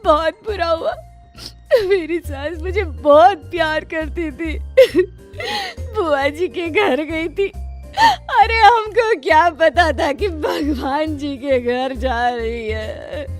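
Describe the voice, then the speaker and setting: A woman's voice: high voice, lively intonation, moderate pace, fairly bright tone, faint music behind, pitched above the performer's own voice. A woman voicing a gullible, melodramatic young daughter-in-law in an acted moral story, whiny and theatrical. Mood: wailing, grieving. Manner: theatrical, whiny, wailing when upset.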